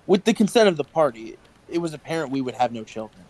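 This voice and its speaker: Actor as guarded, higher-pitched nervous man. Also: big pitch swings, quick pace, darkish tone, rough recording. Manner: hesitant, defensive. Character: guarded, nervous male office worker. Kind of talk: audio drama acting.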